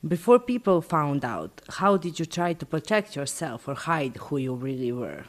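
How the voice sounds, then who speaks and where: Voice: medium pitch, lively intonation, moderate pace. An interviewer reading questions with some fillers.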